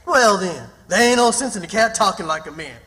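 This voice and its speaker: A warm-hearted, stubborn runaway in a radio play. His voice is strikingly high and bright, swooping in pitch, with a folksy Southern dialect and emphatic delivery. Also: expressive, a little fast. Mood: stubborn, puzzled. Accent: American Southern. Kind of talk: radio drama acting.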